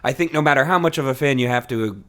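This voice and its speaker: Guy hosting a podcast, chatty and informal, middle of the road pitch, swears a bit. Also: fast, fairly bright tone. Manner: casual, profane, informal. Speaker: podcast host. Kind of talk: casual chat.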